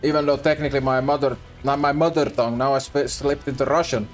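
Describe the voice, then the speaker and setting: A man's voice: medium pitch, fairly even intonation, fast talker, soft background music. A young man with a Finnish accent, whose first language is Swedish, chatting casually and hesitantly on a gaming stream with lots of restarts. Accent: Finland Swedish. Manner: rambling, hesitant, frequent restarts and laughs. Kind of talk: casual gaming livestream chat.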